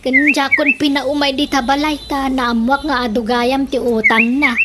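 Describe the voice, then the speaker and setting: High-pitched fussing mother played by a woman. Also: level tone, normal speed, natural voice. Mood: fussing, complaining. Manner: exclamatory, fussing. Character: excitable, scolding Filipino mother in a domestic comedy. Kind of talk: comedic radio drama acting. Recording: very noisy radio drama track.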